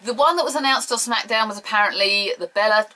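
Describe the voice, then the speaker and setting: A young British woman chatting excitedly to camera, giving opinionated and playfully teasing wrestling predictions. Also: fairly high pitch, fast. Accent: British English. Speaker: wrestling preview vlogger. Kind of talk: casual opinionated chat to camera.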